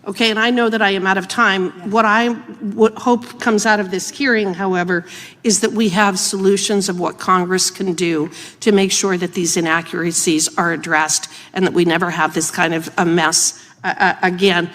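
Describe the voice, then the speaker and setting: A woman's voice: lowish voice, fairly even intonation, moderate pace, a bit bright. A woman speaking formally as a legislator questioning witnesses at a hearing. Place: large indoor hearing room. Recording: reverberant hearing room.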